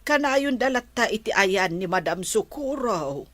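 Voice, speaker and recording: A woman playing a caring grandmother in an Ilocano radio drama, gentle and explanatory, with an Ilocano accent. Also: mid-range voice, lively intonation, measured pace, dry recording. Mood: gentle, explaining. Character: caring, slow-spoken grandmother of a blind student.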